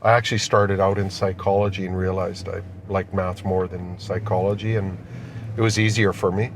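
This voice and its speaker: A man's voice: sits low, level tone, moderate pace. A middle-aged man, a company executive, answering questions in casual conversation during an interview inside a car. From born in Austria, immigrant to Canada. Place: inside a car being driven. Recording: noisy moving vehicle interior.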